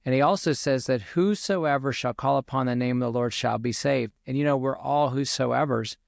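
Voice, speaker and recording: A man's voice: medium pitch, moderate pace, creaky, dry recording, studio quality. A man in his forties hosting a faith podcast, speaking earnestly like a preacher to his listeners from a home office with a headset. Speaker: podcast host and minister. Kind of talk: podcast interview ending in a prayer. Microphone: over-ear headset.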